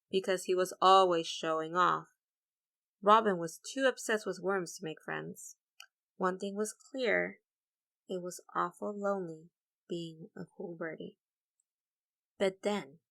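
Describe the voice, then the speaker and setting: Bright, creaky-voiced woman teaching a read-aloud. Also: on the low side. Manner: encouraging, explanatory, addresses listeners directly. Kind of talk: interactive children's read-aloud lesson.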